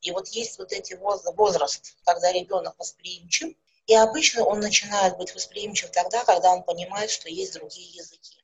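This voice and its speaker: A middle-aged woman, a speech therapist, calmly explaining things with everyday examples in an interview. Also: medium pitch, normal speed, lo-fi sound. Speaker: speech therapist and psychologist guest.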